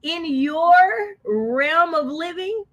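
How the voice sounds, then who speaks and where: A woman's voice: high-pitched, expressive, takes it slowly, a bit bright, dull audio. A woman with an American accent co-hosting a casual live book discussion, speaking emphatically and repeating phrases to drive points home. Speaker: co-host of a book discussion livestream.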